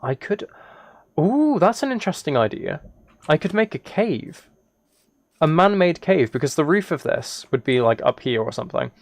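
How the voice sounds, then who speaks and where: A man chatting casually as a live game streamer, musing aloud in a relaxed, low-key way. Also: moderate pace, gravelly, dry recording, clean studio sound. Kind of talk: casual chat over Minecraft gameplay.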